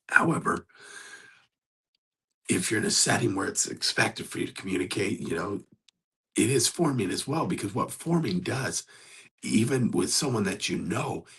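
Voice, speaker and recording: A hoarse man hosting a motivational coaching talk, speaking in an earnest, exhorting and conversational way. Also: lowish voice, pushed and bright. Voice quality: gravelly, husky. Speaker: host.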